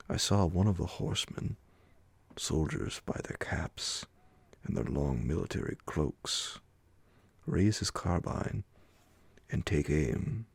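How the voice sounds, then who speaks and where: A man reading a horror bedtime story in a slow ASMR audiobook style, with a gravelly, breathy voice that often sinks toward a whisper and dramatic, drawn-out phrasing. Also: very deep voice, a little mellow, no room sound. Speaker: narrator reading a classic horror story aloud.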